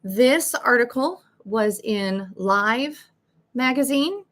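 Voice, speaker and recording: An older woman hosting a live coaching podcast, chatting casually with ums as she reads articles aloud, her voice a little raspy. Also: fairly high pitch, expressive, slow, fairly bright tone. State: slightly raspy voice. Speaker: life coach and podcast host. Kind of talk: live-streamed podcast, reading articles and greeting chat.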